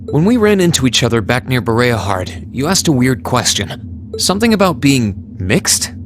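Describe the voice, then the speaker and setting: A man's voice: mid-range voice, very animated, moderate pace, close to an everyday voice. An actor playing a polite, loyal and resolute young male student in a video game, speaking sincerely. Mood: tentative. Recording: music behind the voice.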